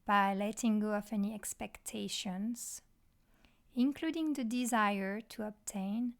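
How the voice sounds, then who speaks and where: A woman leads a guided mindfulness meditation as a teacher. Her voice sits a little on the high side. She speaks very slowly and deliberately, setting out short phrases with pauses between them.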